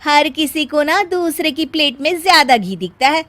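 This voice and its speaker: A woman voicing a young, overworked daughter-in-law in a cartoon story, talking back quickly and with exasperation. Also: sits high, expressive, fairly bright tone, no room sound, voice pushed up. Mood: defensive. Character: young working daughter-in-law, busy, defensive.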